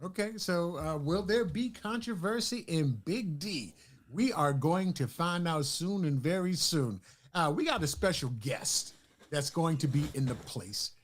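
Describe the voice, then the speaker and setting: A man's voice: fairly high pitch, a little slow. A man hosting a casual football talk show, energetically calling on viewers and bringing in guests. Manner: energetic, hypes the audience. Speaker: host.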